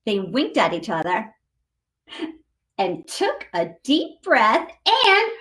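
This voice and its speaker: A woman's voice: on the high side, wildly swooping pitch, takes it slowly, a bit bright. A woman reading a picture book aloud to children expressively, with chatty asides to young listeners. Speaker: storytime host reading to children.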